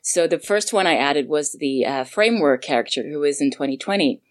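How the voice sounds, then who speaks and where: A woman's voice: deep voice, a little fast. A Swedish-born woman with a learned North American-sounding English accent, chatting casually as a guest about her audio drama, laughing often. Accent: North American-sounding English learned at international school. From Sweden. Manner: laughs often, animated, many fillers. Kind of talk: casual interview chat.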